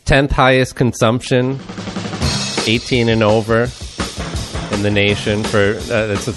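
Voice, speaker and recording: A man's voice: lowish voice, level tone, unhurried, over music. A man hosting a casual chat, explaining things in a rambling way with many restarts and fillers. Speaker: podcast host. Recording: talk over a song playing.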